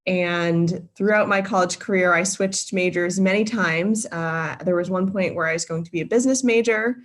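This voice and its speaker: Young woman in her twenties, lower voice, casual guest talk full of fillers. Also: normal speed. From Boston, Massachusetts. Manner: friendly, many filler ums and uhs, self-correcting. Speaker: guest speaker. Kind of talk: casual presentation to a live virtual audience. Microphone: video call.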